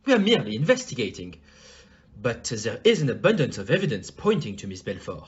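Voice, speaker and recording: A man playing a fussy, courteous middle-aged detective with a French accent and a theatrical, deliberate manner in an audio play. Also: mid-range voice, wildly swooping pitch, lo-fi sound. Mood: measured, questioning.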